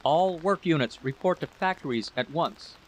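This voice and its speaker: A man's voice: fairly high pitch, lively intonation, normal speed, voice pushed up, close to an everyday voice. A man voicing a public newscaster in a story reading, announcing urgent war bulletins in clipped phrases on a noisy recording.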